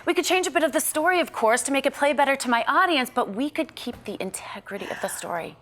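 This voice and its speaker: A woman playing a self-serving TV personality, halting and pleading in a dramatic script read. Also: high voice, lively intonation, fairly bright tone. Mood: placating. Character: self-serving female TV personality, placating and smooth-talking. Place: indoor studio. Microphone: lavalier.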